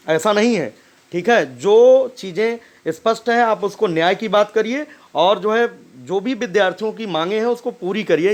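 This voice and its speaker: A man giving informal commentary as a host, insistent and admonishing, often tagging his points with short check-in questions. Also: sits high. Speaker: host commenting on a recorded phone call.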